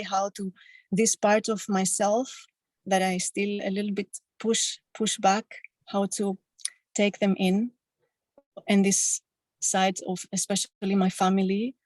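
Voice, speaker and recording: A woman whose first language is Greek, slowly and haltingly telling a personal story on a video call. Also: medium pitch, darkish tone, no room sound. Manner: slow, halting, reflective storytelling. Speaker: satsang participant.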